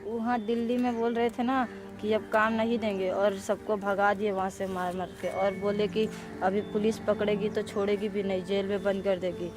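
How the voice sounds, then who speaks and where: A woman as a young boy pleading in a flat, extremely quick stream of words. Music is clear behind the drama voice. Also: mid-range voice, close to an everyday voice. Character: pleading young boy returned from city work. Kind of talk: naturalistic radio drama acting.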